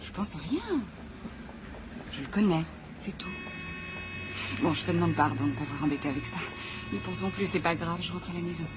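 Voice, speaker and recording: A woman's voice: fairly low pitch, very animated, moderate pace, long pauses, over music. An actress playing an anxious, suspicious but proud wife in a French radio drama, nervous and apologetic as she corrects herself. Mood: flustered, apologetic. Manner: nervous, self-correcting, shifting from firm to faint. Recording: clearly audible background noise.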